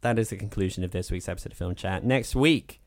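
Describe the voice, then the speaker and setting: A man with a low voice delivers deadpan, teasing remarks as a podcast co-host. The recording is dry and close to the mic. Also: fast talker, dry recording. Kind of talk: casual chat.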